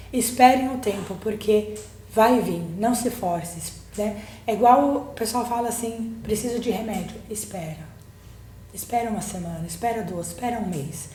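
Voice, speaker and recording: Female psychologist guest, medium pitch, informal storyteller who acts out quotes, roomy recording. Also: warm tone. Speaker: guest psychologist and book co-author. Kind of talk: casual personal storytelling. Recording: roomy echo, faint background noise.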